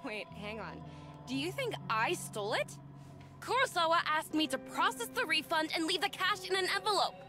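An actress playing an indignant, foul-mouthed young woman shop clerk in a visual novel, shrill and defiant. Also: big pitch swings, moderate pace. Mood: alarmed. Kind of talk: anime-style visual novel voice acting. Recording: music behind.

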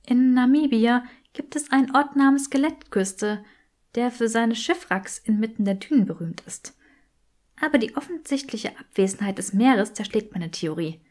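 A woman's voice: fairly high pitch, animated, moderate pace, a little mellow, close to an everyday voice. A woman voicing a brainy, precise young witch in an audiobook, articulate and matter-of-fact. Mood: matter-of-fact, tired.

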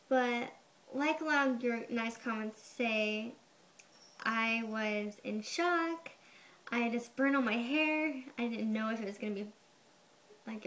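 Young girl with a highish squeaky voice, chatting to her webcam in her bedroom, kinda defensive, lots of "like". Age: young adult. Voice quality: high, girlish voice. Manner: chatty, defensive, says "like" a lot. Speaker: vlogger. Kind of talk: casual to-camera explanation. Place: bedroom, indoors. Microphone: webcam at desk.

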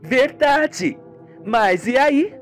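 A man's voice: very high voice, animated, drawn-out words, faint music behind, voice pushed up, full character voice. A man voicing a young, wealthy woman who runs a ranch, defensive and flustered, in a radio soap. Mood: nervous, defensive. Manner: startled, questioning.

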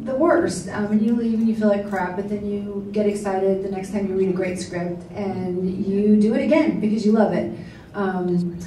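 An actress on a convention panel reflecting earnestly on auditions and acting work. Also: female voice, medium pitch, steady tone, moderate pace, mellow, slight background noise. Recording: echoey hall from far off.

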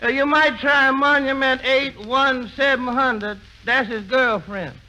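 A man's voice: very high-pitched, fairly even intonation, a little slow, bright, forceful tone, exaggerated voice. An actor playing a wary man who answers a club telephone in a 1940s radio comedy. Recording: noisy 1940s radio broadcast.

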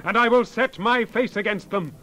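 An actor playing a stern prophet who proclaims God's judgment in an emphatic, declamatory dramatized scripture reading. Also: male voice, high voice, normal speed, pushed and bright, rough recording. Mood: stern, condemning.

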